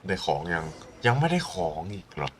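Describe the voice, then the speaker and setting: Very deep-voiced man streaming. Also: sing-song, very fast, a little hiss or hum. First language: Thai. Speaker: game streamer. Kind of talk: casual live game commentary.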